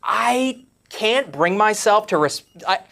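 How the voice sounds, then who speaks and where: A man co-hosting a podcast, chatting casually and joking, quick to laugh. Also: high voice, expressive, creaky, bright, forceful tone. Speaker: podcast co-host. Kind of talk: casual chat.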